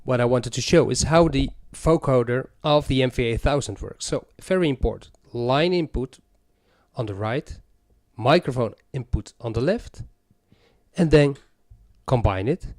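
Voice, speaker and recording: A man's voice: mid-range voice, measured pace, a little mellow, no echo. A man presenting a hands-on music gear tutorial, explaining methodically step by step.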